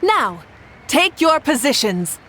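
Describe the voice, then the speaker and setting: A woman's voice: high-pitched, sing-song, takes it slowly, sharp tone, a little hiss or hum, exaggerated voice. A woman voicing a composed, authoritative young female leader and tournament host in an anime game. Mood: rousing, solemn. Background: game music.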